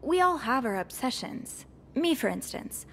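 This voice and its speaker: An actress playing a curious, evasive young hotel maid in a mystery game, speaking in an earnest, hesitant way. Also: female voice, high-pitched, big pitch swings, a little slow, slight background noise, exaggerated voice. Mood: evasive, nervous. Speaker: video game character. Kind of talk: narrative mystery game voice acting.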